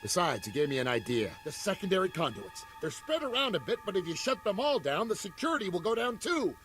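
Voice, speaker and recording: Excitable, high-voiced starship engineer man. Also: fast talker, soft background music. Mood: explaining. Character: gruff, exasperated male ship's chief engineer. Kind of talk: video game voice acting.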